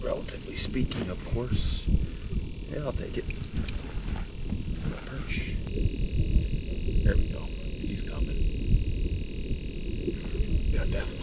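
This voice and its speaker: A young man vlogging a day of ice fishing on a frozen lake, talking to himself and the fish in a low-key way. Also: slow pace, long pauses. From Wisconsin. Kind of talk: casual running commentary while ice fishing. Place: frozen lake outdoors, then inside a small ice shanty. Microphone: action camera on the ice. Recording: distant camera mic, loud wind noise.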